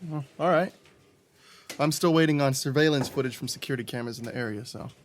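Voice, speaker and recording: An actor playing an earnest, troubled police detective in an audio drama. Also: male voice, mid-range voice, quick pace, a little hiss or hum, natural voice. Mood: casual.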